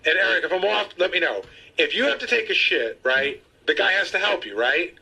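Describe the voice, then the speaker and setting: Raspy, piercing, high-pitched man. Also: expressive, brisk, low-quality recording. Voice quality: rough, raspy. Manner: forceful, badgering questions. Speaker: radio show participant. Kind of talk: casual argumentative radio banter.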